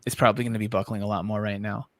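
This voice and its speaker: A man's voice: on the low side, flat delivery, rapid-fire, a bit bright. A man hosting a livestream in casual chat, putting conversational questions on behalf of the audience. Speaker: livestream host and moderator.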